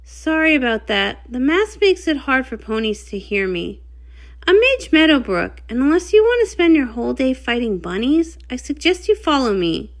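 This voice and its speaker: A woman's voice: sits high, sing-song, moderate pace. A woman voicing a confident, plain-spoken mare who heals in the swamp, with a folksy manner, in a comic read-along. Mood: brisk, matter-of-fact.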